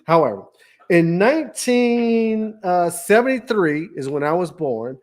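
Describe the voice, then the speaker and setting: A man's voice: high-pitched, expressive, darkish tone. Easygoing fifty-something man hosting a chat. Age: 50s. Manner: easygoing, joking, drawn-out storytelling. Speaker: podcast co-host. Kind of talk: casual chat.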